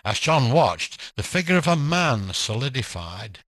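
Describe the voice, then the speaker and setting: A man tells a scripted ghost story with theatrical suspense. His delivery is measured and very varied in pitch, recorded dry and close. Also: big pitch swings, a bit bright, no room sound.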